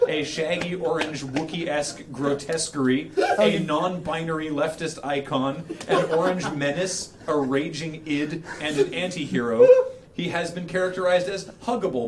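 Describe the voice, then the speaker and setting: Amused man reading aloud over clear noise. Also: on the high side. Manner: amused, prone to cracking up while reading. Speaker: charity livestream host reading aloud. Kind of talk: reading a mock-solemn formal resolution aloud. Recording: noisy room with clicking.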